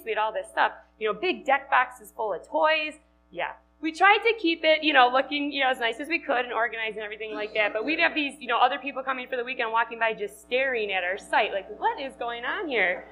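A woman's voice: high voice, pushed and bright, a little hiss or hum. A woman in her thirties from Wisconsin with a Wisconsin accent, giving a chatty, upbeat talk to a live audience and laughing often. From Wisconsin, USA. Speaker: conference speaker.

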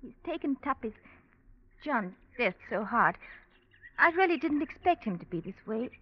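An actress playing a gentle, anxious young well-to-do daughter in an old-time radio drama, earnest and hesitant. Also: female voice, on the high side, very animated, moderate pace. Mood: worried. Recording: muffled vintage radio, faint music.

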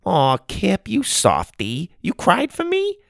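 A man voicing a feisty, indignant old grandmother, a sharp-tongued, bossy adventurer in a storybook. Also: sits high, huge pitch swings, slow pace, gravelly, no room sound, studio quality, voice pushed up, heavily acted. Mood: teasing. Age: older. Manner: exclamatory, teasing, bossy.

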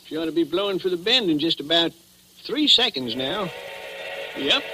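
High-voiced folksy railroad hand. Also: male voice, big pitch swings, moderate pace. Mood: folksy, easygoing. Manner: folksy, drawling rural talk with dropped grammar. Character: folksy small-town railroad station hand. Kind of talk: 1940s radio acting. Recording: old radio recording with clear noise.

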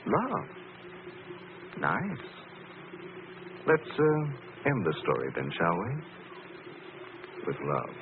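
An actor playing a suave, unruffled gentleman sleuth in a 1940s radio drama, explaining things in an urbane way. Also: fairly low pitch, huge pitch swings, drawn-out words, long pauses, heavily acted. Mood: urbane, playful. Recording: tinny old radio recording, clear noise.

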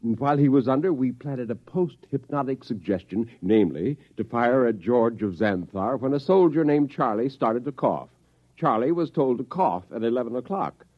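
Guy acting modest clever professor type, old radio drama, lively pitch, bit hesitant, dull lo-fi sound. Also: moderate pace, close to an everyday voice. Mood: pleased, explaining. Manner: hesitant, understated, explanatory. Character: clever, modest husband, an academic hypnotist.

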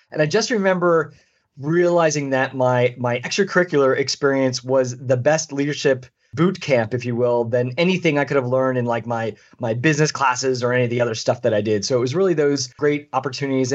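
A man's voice: mid-range voice, highs cut off. A man, a guest on an interview show, telling a story in an enthusiastic, conversational way and catching himself mid-sentence. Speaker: guest, leadership consultant.

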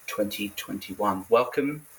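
A man hosting an academic book podcast, introducing his guest in a formal but halting way. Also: lowish voice, low-quality recording. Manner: halting, frequent hesitations. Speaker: podcast host and professor.

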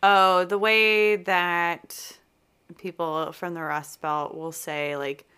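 A woman co-hosting a podcast, chatting in an animated way with quick asides. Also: lowish voice, clean tone. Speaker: podcast co-host. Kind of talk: casual chat.

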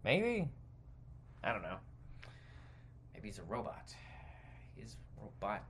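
A man's voice: fairly low pitch, huge pitch swings, takes it slowly, noisy background. A man hosting a podcast, giving rambling, emphatic, opinionated commentary in an off-the-cuff way. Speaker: podcast host. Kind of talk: opinionated stream-of-consciousness commentary.

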